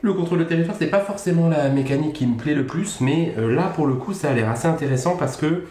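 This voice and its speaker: A man co-hosting a casual board game podcast, chatty and self-mocking, talking in quick bursts. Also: a little high, dark tone. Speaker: podcast co-host. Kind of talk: casual chat about board games. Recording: echoey room.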